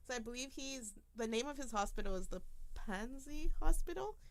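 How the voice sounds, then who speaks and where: A woman's voice: high voice, animated, normal speed. A young woman from Africa hosting a casual podcast conversation, explaining her views at length in a thoughtful way. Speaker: podcast host.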